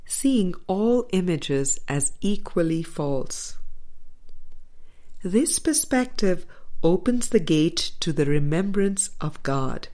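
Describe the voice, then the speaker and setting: A woman reading a spiritual audiobook as scripted narration, with measured, deliberate phrasing. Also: fairly low pitch, warm tone. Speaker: audiobook reader.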